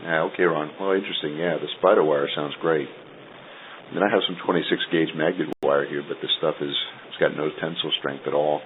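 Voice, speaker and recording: Sex: man. Pitch: extremely deep. Sound: tinny radio link. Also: steady tone. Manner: chatty, halting, laughs while talking. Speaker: podcast host on a radio link call. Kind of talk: casual two-way conversation. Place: Rome. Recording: tinny internet radio link with faint hiss.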